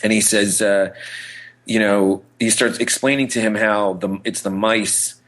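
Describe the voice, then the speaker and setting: A man's voice: on the low side, steady tone, a little slow, a bit bright, low-quality recording. A man co-hosting a podcast, chatting casually as an enthusiastic fan and rambling as he tells stories. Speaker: podcast co-host.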